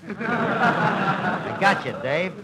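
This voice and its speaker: A chummy dim tavern fella on old radio, folksy mangled talk, animated, lots of noise. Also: male voice, high voice, drawn-out words, exaggerated voice. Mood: eager. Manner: folksy, mangled colloquial speech. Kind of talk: old-time radio comedy acting. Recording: noisy old radio broadcast recording.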